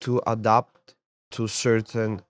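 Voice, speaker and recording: A man's voice: on the low side, slow pace, dry recording. A young adult man born in Poland, a sports therapist and MMA coach, talking casually as an interview guest and often repeating words as he thinks. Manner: relaxed, repeats words while finding them.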